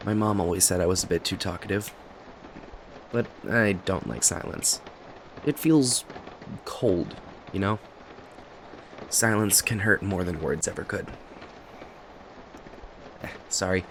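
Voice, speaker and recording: A man's voice: lowish voice, measured pace, long pauses. A man acting a talkative, kindly cafe owner in an ASMR roleplay, chatting to the listener in a friendly, hesitant, apologetic ramble. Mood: sheepish, rambling. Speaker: voice actor in an audio roleplay. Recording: steady rain noise behind voice. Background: rain and cafe ambience.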